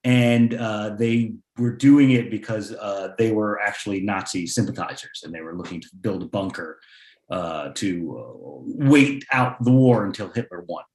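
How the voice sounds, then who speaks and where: A man speaking conversationally as a playwright on a panel, in a halting way with restarts. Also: on the low side, darkish tone. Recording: echoey room sound.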